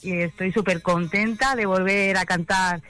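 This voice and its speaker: A woman's voice: mid-range voice, steady tone, normal speed, bright, forceful tone. A woman from Catalonia with a Spanish accent, a singer chatting hesitantly in a casual radio interview and checking she is understood. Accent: Spanish from Spain. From Catalonia, Spain. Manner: hesitant, chatty, seeks agreement.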